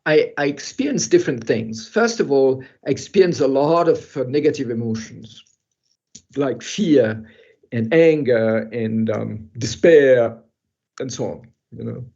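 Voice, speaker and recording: An older man who grew up in Europe tells his own story at an even middle pitch. He pauses, restarts, and laughs softly as he reflects. The sound is muffled and dull, as if heard over a video call. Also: creaky. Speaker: guest speaker. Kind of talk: personal storytelling in an online talk.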